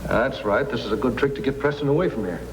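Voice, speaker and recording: Male actor as anxious henchman, fast talker, complaining, old radio drama, echoey reverberant recording. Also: mid-range voice, a little hiss or hum, close to an everyday voice. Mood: worried. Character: nervous, reluctant crook, henchman to a villain. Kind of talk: old-time radio adventure acting.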